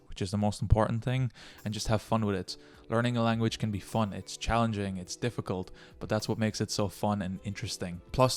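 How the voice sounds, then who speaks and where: Fast-talking encouraging young man. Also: lowish voice, smooth. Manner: upbeat and encouraging, talks straight to the viewer. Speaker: YouTuber giving tips. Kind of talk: casual tutorial vlog talk.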